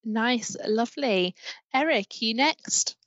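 A woman hosting a podcast, brisk and friendly as she moves the talk along. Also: a little high, expressive, a bit bright, no room sound, lo-fi sound. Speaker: podcast host.

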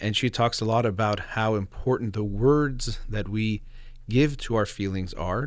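A man's voice: low voice, dry recording. A man hosting a radio show, talking reflectively on his own in an explanatory, slightly hesitant way, close to the microphone. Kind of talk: reflective solo talk show monologue.

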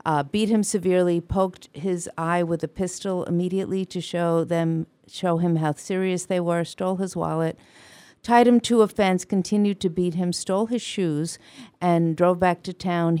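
An older woman guest on a radio talk show recounts a story in an earnest, loud voice. Her tone is mellow and slightly low. The sound is close and dry. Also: fairly even intonation, moderate pace, clean tone, no room sound. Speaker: guest author. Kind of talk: radio interview answers.

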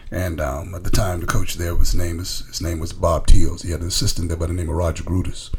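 Gravelly man talking casually, some noise. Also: low-pitched, level tone, brisk, darkish tone. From the Bahamas. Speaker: college coach being interviewed. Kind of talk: casual conversational storytelling.

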